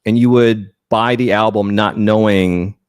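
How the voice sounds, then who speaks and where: A man's voice: fairly low pitch, fairly even intonation, a little slow, smooth, no echo, clean studio sound. A man joining a fantasy football draft as a guest, speaking casually in a hesitant, stammering but easygoing way. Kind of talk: casual chat.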